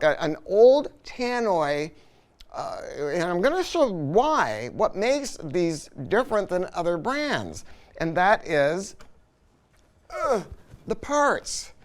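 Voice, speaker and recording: Animated man pitching audio gear. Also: smooth. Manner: emphatic, colloquial, storytelling with rhetorical tag questions. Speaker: product reviewer and audio dealer. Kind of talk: unscripted sales-style product review talk.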